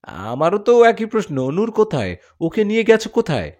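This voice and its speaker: A man's voice: sits high, wildly swooping pitch, normal speed, a little mellow, pitched above the performer's own voice. A man voicing an anxious mother who accuses the jinn of taking her daughter, in a spoken story. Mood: distraught. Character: distraught mother of a missing young woman.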